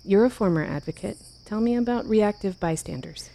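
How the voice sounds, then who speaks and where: An actress playing a composed but anxious, caring leader in a fiction podcast, speaking in a measured, earnest way. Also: female voice, mid-range voice, expressive, creaky, mellow. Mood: firm, reassuring. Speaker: leader of a survivors' haven. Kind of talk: naturalistic fiction podcast acting.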